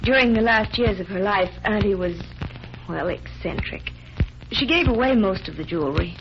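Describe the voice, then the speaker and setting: A woman's voice: medium pitch, normal speed, music in the background, natural voice. A woman playing a frightened, earnest young heiress, pleading in emotional 1940s radio drama style. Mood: subdued, earnest. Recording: muffled, noisy 1940s radio recording.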